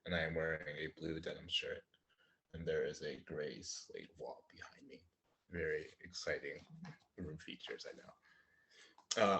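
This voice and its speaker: Male poet, low register, live reading of his own work. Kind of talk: live poetry reading with spoken introductions. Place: indoor room in front of a slate wall, online reading.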